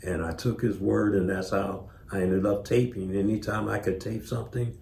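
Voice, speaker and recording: An older man reminisces casually in an interview. His voice is soft-edged, mellow and on the low side, recorded in an echoey space with some noise. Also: steady tone, normal speed, vocal fry. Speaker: interview guest, former tour manager. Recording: echoey room with background noise.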